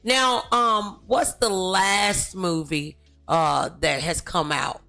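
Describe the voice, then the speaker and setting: A woman's voice: fairly low pitch, steady tone, slow pace, creaky, sharp tone, soft background music. A woman hosting a casual interview in a folksy, rambling, familiar way. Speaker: talk show host.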